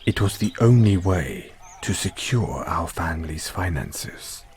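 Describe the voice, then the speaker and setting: Deep voice, unhurried delivery, man acting as devoted butler, formal, solemn, deferential, audio roleplay, faint background noise. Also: expressive, darkish tone. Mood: solemn, restrained. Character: formal, devoted male butler with a painful past. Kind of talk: scripted audio roleplay, addressing the listener.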